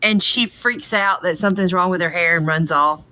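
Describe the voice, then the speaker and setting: A woman's voice: on the low side, moderate pace, pushed and bright. A woman co-hosting a podcast, recapping and discussing in an informal, chatty way. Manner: informal, uses y'all. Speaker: podcast co-host. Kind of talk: casual fan discussion.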